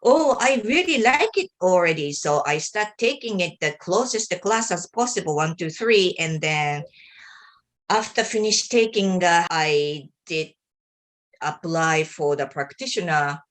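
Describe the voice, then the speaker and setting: A woman from Japan, a native Japanese speaker with a Japanese accent, speaking as an interview guest in earnest, halting non-native English with pauses. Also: lowish voice, expressive. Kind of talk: casual conversation.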